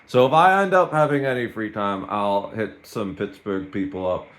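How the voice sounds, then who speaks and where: A man's voice: lowish voice, normal speed, fairly bright tone. A man in his thirties talking in a rambling, self-mocking way in a casual solo vlog. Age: 30s. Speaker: streamer.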